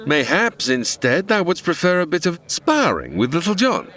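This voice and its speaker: An actor playing a hearty, swaggering heroic outlaw leader in a comedic audio drama, with theatrical archaic speech. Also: male voice, fairly high pitch, sing-song, normal speed, a bit bright.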